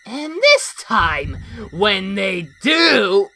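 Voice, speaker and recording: A woman's voice: mid-range voice, big pitch swings, very slow, background noise, exaggerated voice. A woman voicing a mocking, malicious talking flower in a comic dub, with a taunting, theatrical delivery. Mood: sneering, menacing. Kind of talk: animated comic dub acting.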